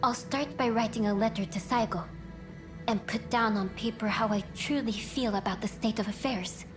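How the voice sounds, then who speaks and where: A woman playing a gracious, composed princess in a video game, speaking in a refined, formal and measured way over music. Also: a little high, natural voice. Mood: composed, resolute. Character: gracious, composed noble princess, gently resolute. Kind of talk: video game cutscene acting. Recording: dialogue over clear music.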